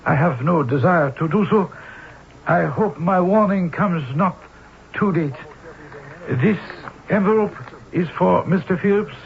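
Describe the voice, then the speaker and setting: A man playing a courteous but ominous gentleman in a radio mystery, speaking formally and haltingly. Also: sits high, gravelly. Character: courteous, ominous Japanese gentleman warning of cursed bells. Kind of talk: 1970s radio mystery acting. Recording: muffled phone-like old radio with hiss.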